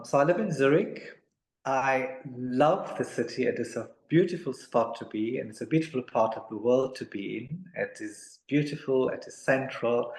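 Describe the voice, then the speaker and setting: A man from Africa, answering interview questions in a measured, polite way with many pauses and ums. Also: medium pitch. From South Africa. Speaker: guest, amateur tennis player. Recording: echoey room.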